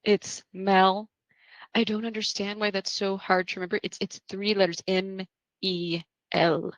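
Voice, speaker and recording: A woman's voice: mid-range voice, flat delivery, a little slow, dry recording, muffled recording, natural voice. A woman playing a prickly, secretive hospital patient in a screenplay table read, with a defensive manner.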